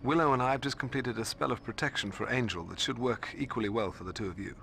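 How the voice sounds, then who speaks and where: An actor playing a scholarly male mentor who speaks in a formal, measured, stern way. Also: mid-range voice, vocal fry, fairly bright tone, faint music behind. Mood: grave. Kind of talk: video game voice acting.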